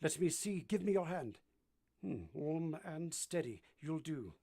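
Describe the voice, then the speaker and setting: An actor playing a brooding, commanding gentleman master of a manor in an old-time radio drama, abrupt and imperious. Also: a little high, expressive, measured pace, gravelly, slight background noise. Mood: hushed, grave.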